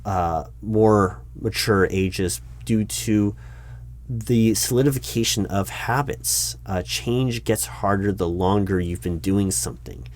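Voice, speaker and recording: A man playing a polite, awkward corporate recruiting manager in a one-sided interview roleplay, speaking out loud with a hesitant, stammering, formal manner. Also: fairly low pitch, slow. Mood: earnest, rambling. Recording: steady background noise, keyboard typing. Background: computer keyboard typing.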